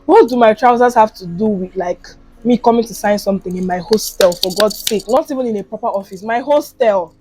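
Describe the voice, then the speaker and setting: A woman's voice: medium pitch, brisk, few pauses, a little mellow, faint music behind. A young woman from Nigeria with a Nigerian accent, telling a story in a casual vlog while she does her makeup, acting out the lines of the people in it. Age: young adult. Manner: chatty and dramatic, acting out quoted lines. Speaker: vlogger.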